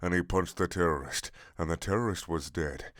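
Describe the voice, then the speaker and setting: A man's voice: sits low, normal speed, a bit bright, no room sound, studio quality. A man narrating a comic action story in stilted, broken English with dropped articles.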